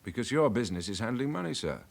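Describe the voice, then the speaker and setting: An actor playing a polite but relentless British police inspector, measured and insistent, in a radio crime drama. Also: male voice, mid-range voice, very animated, vocal fry. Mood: politely insistent.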